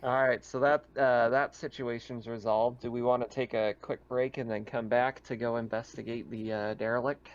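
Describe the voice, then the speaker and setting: Male game master, gravelly fry, casual and halting narration, dull voice-chat audio. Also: medium pitch, fairly even intonation. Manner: halting, thinking aloud as they go.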